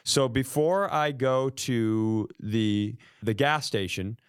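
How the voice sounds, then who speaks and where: A man in casual comedic storytelling as a podcast co-host, animated and self-deprecating. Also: mid-range voice, slow pace, smooth, dry recording, studio quality. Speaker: podcast co-host telling stories.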